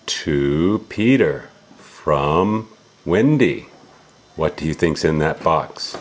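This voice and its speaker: A man's voice: rumbling low, expressive, very slow, a little hiss or hum. A man reading a picture book aloud to a young child, asking simple questions and exclaiming as he explains.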